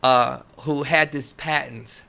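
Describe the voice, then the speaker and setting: A man speaking as an interview guest, telling stories casually and haltingly, repeating phrases as he goes. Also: mid-range voice, fairly even intonation, gravelly, bright, forceful tone, clear, resonant tone. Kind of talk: casual conversational storytelling.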